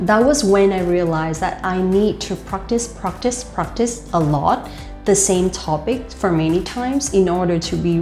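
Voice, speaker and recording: Vietnamese-accented woman, low-pitched, roomy sound. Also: mellow. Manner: loose, repetitive, many "like" fillers. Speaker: host and life coach. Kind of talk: casual speaking tips to camera. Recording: roomy with faint music.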